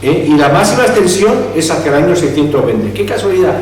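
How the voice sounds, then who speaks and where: A man's voice: fairly high pitch, animated, quick pace, a little mellow. Man lecturing in a very echoey hall. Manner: discursive, restarts words, asks rhetorical questions. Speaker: lecturer on Iranian history. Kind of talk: public lecture. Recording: echoey hall, distant mic, faint hum.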